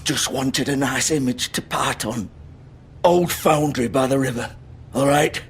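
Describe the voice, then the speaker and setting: An actor playing a foul-mouthed, bitter, wounded crook in a video game, raspy and swinging between defiance and despair. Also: male voice, medium pitch, measured pace, slight background noise. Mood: weary, defiant. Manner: profane, pleading, cynical. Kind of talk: dramatic video game acting.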